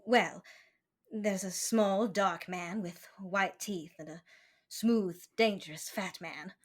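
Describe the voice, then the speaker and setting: An actress playing a slick, deceitful femme fatale, theatrically pleading and hesitant, in a 1940s-style radio drama. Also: female voice, medium pitch. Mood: guarded, earnest. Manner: breathy pauses, theatrical pleading with a throb.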